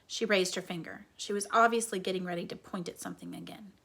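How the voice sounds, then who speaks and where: A woman reading a children's book aloud as a dramatic storyteller, often dropping into a breathy hush. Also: lowish voice, very animated, fast talker. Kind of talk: expressive children's book narration.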